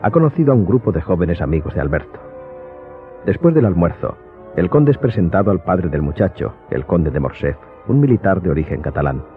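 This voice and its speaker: Deep-voiced male narrator over music. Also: animated, a little fast. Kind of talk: scripted dramatic narration. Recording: muffled phone-like audio, music bed.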